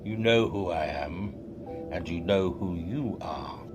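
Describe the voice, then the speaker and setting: A man's voice: low-pitched, takes it slowly, loud music behind the voice. A man playing a mysterious, solemn, knowing guide in an audio drama.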